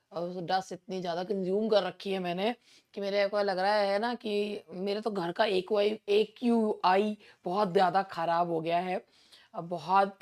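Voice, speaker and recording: An Indian woman with a hoarse, sore throat teaching an online English exam-prep lesson in a chatty way, often clearing her throat. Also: medium pitch, a little mellow.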